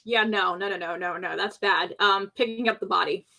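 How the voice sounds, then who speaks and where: A woman's voice: mid-range voice, steady tone, brisk, gravelly, sharp tone. A woman playing a tabletop roleplay game, talking casually through her character's moves.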